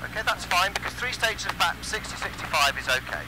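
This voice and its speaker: A British man instructing a student pilot in a calm, encouraging, step-by-step way through a headset in a light aircraft. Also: high voice, fast talker. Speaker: flight instructor. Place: small light aircraft cockpit in flight. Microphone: aviation headset. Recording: loud engine noise over aircraft intercom. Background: constant loud aircraft engine drone.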